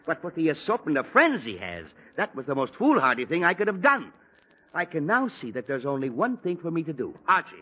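A man's voice: a little high, huge pitch swings, fairly quick, breathy edge, exaggerated voice. An actor playing an exasperated middle-aged father with a headache, blustering in 1940s radio comedy style, on a dull old broadcast recording. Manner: blustering, fussy, flustered outbursts.

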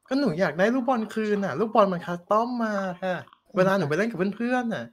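Man playing a fast-talking, offhand young princess. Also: high voice, expressive. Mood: whiny. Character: evasive, casual young fairy-tale princess. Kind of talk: improvised comedy podcast storytelling.

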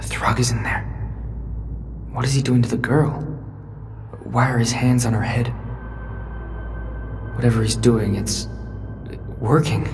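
An anxious, curious teenage boy played by a man in a sci-fi audio drama. He sounds hesitant and questioning in a medium-pitched voice, with long reverb and music behind him. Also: fairly even intonation, measured pace, pauses often, close to an everyday voice. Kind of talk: audio drama acting with inner monologue.

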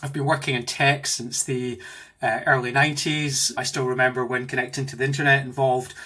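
A man in his forties with a Glasgow accent talks with lively enthusiasm from his home office. His voice is bright and carries a slightly husky edge. He tells long, rambling stories about his career in the style of a podcast interview. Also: mid-range voice, fairly quick. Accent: Glaswegian. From Scotland. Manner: animated, enthusiastic storyteller. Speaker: guest, tech executive.

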